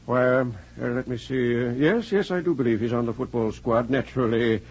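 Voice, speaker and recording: A courteous older American dean, played by a man, speaking formally and a little fussily. It is old-time radio acting with a bookish, measured air. The recording is dull and band-limited. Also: mid-range voice, a little mellow, husky, lightly acted. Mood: polite, mildly puzzled. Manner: formal, measured, slightly fussy. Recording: muffled vintage 1940s radio broadcast.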